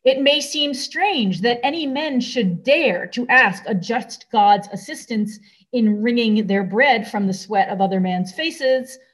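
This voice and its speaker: A woman with an American accent giving a sermon to a congregation in measured, deliberate phrases with pauses. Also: fairly high pitch, expressive, poor audio.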